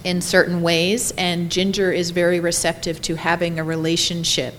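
Low-voiced woman explaining memory care. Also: flat delivery, moderate pace, slight background noise. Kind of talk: panel discussion.

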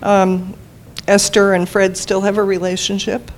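A woman on a panel answering audience questions in a measured, hesitant way. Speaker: panel presenter, care staff. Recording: steady background noise, low rumble.

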